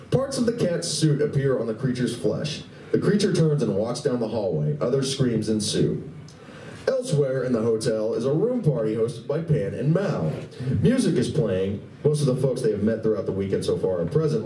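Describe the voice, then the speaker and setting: Echoey male narrator, mellow but lively. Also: medium pitch. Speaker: narrator of a live radio play. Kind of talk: scripted stage-direction narration. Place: live convention performance room. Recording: echoey room, distant mic, faint noise.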